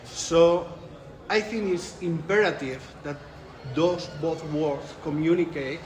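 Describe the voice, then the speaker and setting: A man's voice: fairly high pitch, takes it slowly, darkish tone, a little hiss or hum. Spanish-accented man, echoey conference room. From Spain. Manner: thoughtful, restarts phrases, laughs lightly. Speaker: interviewed researcher. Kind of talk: conference interview. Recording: echoey room with light ambience.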